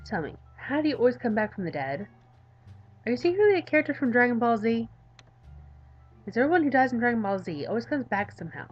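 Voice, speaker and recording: A woman's voice: fairly high pitch, very animated, quick pace, long pauses, dull audio, soft background music. A woman voicing an exasperated male game developer, curt and sarcastic, with a dry, weary delivery. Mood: strained patience.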